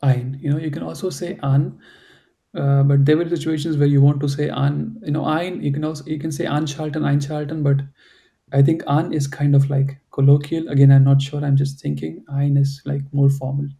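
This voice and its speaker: Gravelly dark-voiced male language teacher. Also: fairly high pitch, level tone, moderate pace. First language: not English or German. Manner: explains patiently, many fillers like "you know" and "right". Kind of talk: online class instruction. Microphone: video call.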